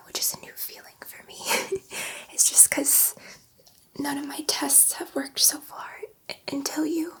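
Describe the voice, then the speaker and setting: A woman playing a gentle, excitable alien scientist in an ASMR sci-fi roleplay, speaking in a soft breathy whisper with a hesitant, rambling, reassuring manner. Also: high-pitched. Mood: nervous excitement.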